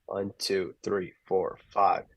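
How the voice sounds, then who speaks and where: A man's voice: deep voice, flat delivery, slow, a bit bright, dry recording, low-quality recording. A man talking in a casual, laid-back way as a wedding DJ guest on a podcast, swearing offhand.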